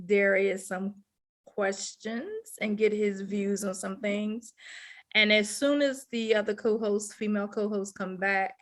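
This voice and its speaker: A woman's voice: mid-range voice, fairly bright tone. A woman in her fifties hosting a casual relationship talk show on the radio. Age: 50s.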